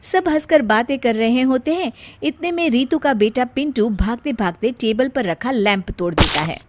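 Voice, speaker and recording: High-pitched woman narrating a story. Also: animated, normal speed, a little hiss or hum. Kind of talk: scripted moral story narration.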